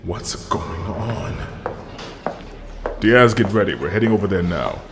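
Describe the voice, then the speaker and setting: A man plays a stern lead detective with a low, gravelly voice. He is terse and dry but moves widely in pitch, in a brooding audio drama with long reverb. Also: big pitch swings, a little slow, noisy background, exaggerated voice. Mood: stern, impatient. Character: stern, no-nonsense male lead police detective. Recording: reverberant hall, music underneath.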